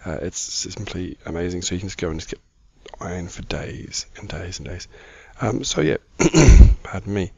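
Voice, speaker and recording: A middle-aged man with a croaky voice, giving casual, easygoing gaming commentary as the host of a video. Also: low voice, expressive, moderate pace, dark tone. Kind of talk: casual Minecraft let's-play commentary.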